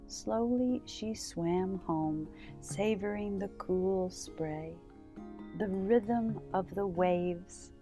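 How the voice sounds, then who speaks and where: A woman hosting a children's story time, reading a storybook aloud with gentle, deliberate phrasing. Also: medium pitch, soft background music. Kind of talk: read-aloud storybook narration.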